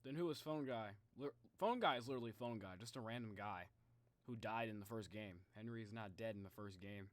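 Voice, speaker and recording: A man livestreaming in a casual, halting way, chatting informally to his viewers and muttering to himself. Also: on the low side, no room sound. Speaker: live streamer reacting to game videos.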